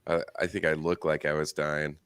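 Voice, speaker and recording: A man co-hosting a livestream, chatting casually in a relaxed, rambling way, his voice hoarse from losing it. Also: very deep voice, moderate pace, no echo, clean studio sound. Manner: laid-back, rambling with fillers. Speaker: livestream co-host. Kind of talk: casual chat.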